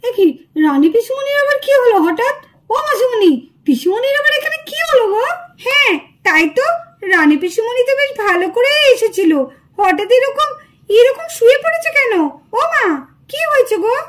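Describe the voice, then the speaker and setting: A woman's voice: very high-pitched, expressive, moderate pace. A woman voicing an inquisitive, anxious village child in a doll story, full of questions and pleading. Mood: puzzled.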